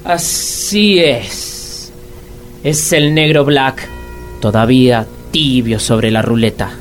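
Casting: Argentine male waiter, mid-pitched, solemn and secretive, formal deadpan delivery, actor in comic radio drama, music underneath. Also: slow, natural voice. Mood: grave, warning. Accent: Argentine, Rioplatense. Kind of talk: comedic radio drama acting.

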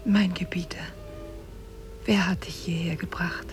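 An actress in a 1950s German radio drama playing a devoted, cunning captive wife with soft, earnest delivery. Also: female voice, fairly low pitch, fairly even intonation, a little slow, music in the background, natural voice. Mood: tender, breathy. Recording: noisy 1950s radio recording.

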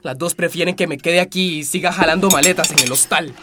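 A man's voice: a little high, fast talker, background noise, lightly acted. An actor playing a restless, stubborn young man in a radio drama, pleading impatiently in Latin American Spanish. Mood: impatient, defiant.

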